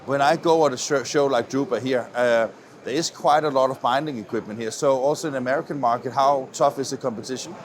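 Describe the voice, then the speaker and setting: A man's voice: mid-range voice, quick pace. A man interviewing on camera at a trade show, with hesitant, conversational phrasing. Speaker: interviewer for a web TV channel. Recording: faint background noise.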